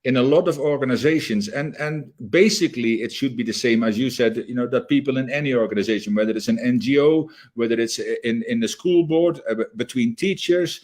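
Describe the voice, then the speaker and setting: A man's voice: medium pitch, brisk, hardly any pauses, darkish tone. A man being interviewed as a leadership trainer, explaining in an emphatic, conversational way with quoted examples.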